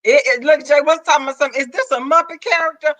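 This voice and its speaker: A woman's voice: high-pitched, very fast, a bit bright, dry recording. A woman with a Southern US accent, hosting a live stream with sassy, teasing banter. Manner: sassy, teasing, calls others "girl" and "child".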